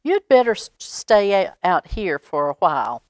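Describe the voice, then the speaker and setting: A woman voicing an anxious, excitable young boy in a storybook, calling out and giving urgent instructions. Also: on the low side, measured pace, dry recording, studio quality. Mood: concerned. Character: excited, anxious young boy looking after his cat.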